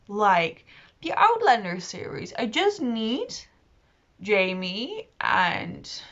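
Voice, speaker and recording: A woman's voice: on the high side, a bit bright. Chatty, rambling woman vlogger, slightly dull sound. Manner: enthusiastic, rambling, self-deprecating. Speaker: book vlogger. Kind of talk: casual chatty monologue to camera.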